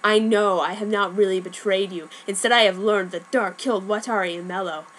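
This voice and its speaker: A grave middle-aged father with a mustache , read by a woman in her own voice, speaks quietly and seriously. His pitch sits in a middling range. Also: fairly even intonation, fairly quick, distant mic, a little hiss or hum, lightly acted, low-quality recording.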